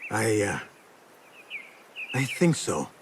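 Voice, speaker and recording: An actor playing an eager young assassin apprentice in a video game, respectful and slightly hesitant. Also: male voice, lowish voice, sing-song, extremely slow, slight background noise, exaggerated voice. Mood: earnest, respectful. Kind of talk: dramatic video game acting.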